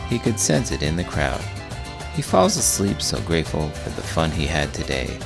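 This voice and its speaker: A man doing an expressive, scripted read-aloud of a children's book. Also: a bass voice, moderate pace, creaky, over music. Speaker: teacher reading children's books aloud.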